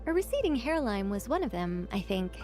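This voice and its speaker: A woman voicing an elegant, composed woman who speaks in a measured and gently teasing way. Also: mid-range voice, very animated, lightly acted. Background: faint game music.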